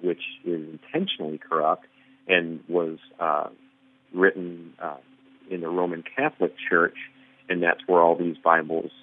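A man's voice: deep voice, no echo. A male caller on a phone line, speaking hesitantly, with many fillers and restarts. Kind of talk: call-in question.

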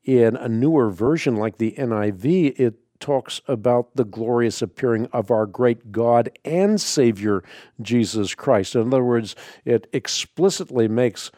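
A man's voice: medium pitch, unhurried, dark tone, dry recording, clean studio sound. A Dutch-born man hosting a call-in radio show, explaining Bible translation earnestly and emphatically, often restarting his sentences. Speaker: radio show host answering callers.

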